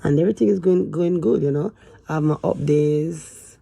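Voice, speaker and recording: A woman in her fifties talking hesitantly to camera in a casual, rambling way, with sighs and trailing pauses. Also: sits low, vocal fry, mellow, dry recording. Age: 50s. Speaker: vlogger. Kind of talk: casual confessional talk to camera.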